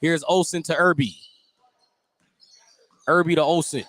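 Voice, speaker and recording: A man's voice: fairly high pitch, fairly even intonation, unhurried, a bit bright. A man doing live play-by-play basketball commentary on radio, calling each play in clipped, descriptive phrases. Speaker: play-by-play announcer. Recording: noisy live sports broadcast.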